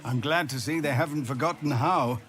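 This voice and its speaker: A man playing a gracious, thoughtful leader in a fantasy video game, speaking in a measured, formal and courteous way. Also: on the high side, fairly bright tone, slight background noise, natural voice. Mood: warm, reflective. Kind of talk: video game cutscene acting.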